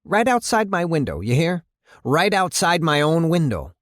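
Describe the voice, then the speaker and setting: A male narrator plays an angry city mayor in an audiobook drama. He blusters and hectors, his voice pushed up high and insistent. Every phrase lands with indignant emphasis, in a close, dry recording. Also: sing-song, normal speed, fairly bright tone, dry recording. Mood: shaken, indignant.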